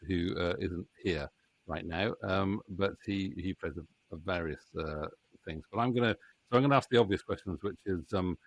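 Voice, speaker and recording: A middle-aged man hosting a casual interview in a jokey, halting way. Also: sits low. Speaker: interviewer and voice actor. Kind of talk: casual chat. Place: small home room. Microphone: studio microphone on a boom arm.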